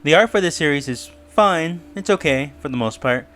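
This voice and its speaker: A man's voice: on the high side, expressive, moderate pace, a bit bright. A man giving a casual review and theory commentary in a musing, speculative way. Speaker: YouTube reviewer. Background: music.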